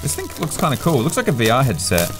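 A man's voice: mid-range voice, huge pitch swings, very fast. A young man hosting a comedy gadget test, joking casually with sardonic, sweary remarks. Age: young adult. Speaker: host of a gadget-testing comedy show. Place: small indoor studio, at a table. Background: music.

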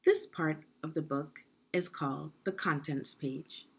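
A middle-aged woman with a slightly husky voice, giving a deliberate, instructive read-aloud lesson for young children. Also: low-pitched. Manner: deliberate, instructive, with pauses and questions. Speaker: education consultant reading aloud to children.

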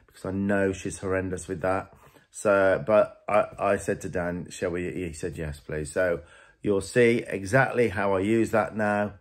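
Low-voiced man training a horse outdoors. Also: no echo, studio quality. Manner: practical, explains step by step. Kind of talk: explaining while working. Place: outdoors in a paddock.

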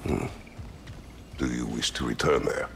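An actor playing a stern, brooding warrior god in a video game, terse and solemn, with a gravelly voice. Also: male voice, measured pace. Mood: guarded. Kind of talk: video game dramatic acting. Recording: game audio with rumbling noise.

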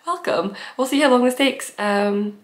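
A woman's voice: fairly high pitch, expressive, slow. Young woman, nasal with a cold, chatty giggly craft-podcast host talking to camera at home. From based in Edinburgh. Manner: chatty, giggly, rambling with fillers. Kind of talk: casual chat to camera. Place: small room at home, bookshelves.

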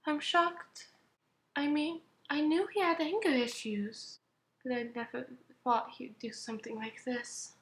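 A gentle female animatronic from a comic dub, played by a woman, hurt yet forgiving. She speaks softly and hesitantly in a highish voice. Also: steady tone, unhurried. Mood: shocked, hurt.

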